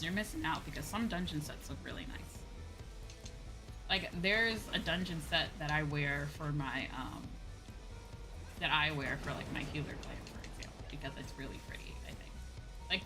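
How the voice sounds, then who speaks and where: A woman livestreamer chatting casually as she plays, emphatic and playfully self-deprecating, with an animated way of talking. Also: lowish voice. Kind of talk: casual gaming stream chat. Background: music.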